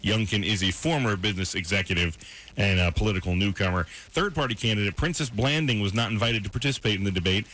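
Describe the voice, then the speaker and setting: A man's voice: lowish voice, quick pace, fairly bright tone, a little hiss or hum. An American man co-hosting a radio show, explaining the news informally and paraphrasing as he reads, in a conversational back-and-forth style. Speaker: radio morning show news presenter and co-host. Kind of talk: conversational radio news rundown with co-host banter. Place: radio studio.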